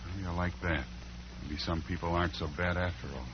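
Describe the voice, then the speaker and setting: An actor playing a humble, down-on-his-luck father, gentle and hesitant, in an old-time radio drama. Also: fairly even intonation, brisk, very noisy, natural voice. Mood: wistful, low. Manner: subdued, hesitant, low-key. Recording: muffled old radio recording.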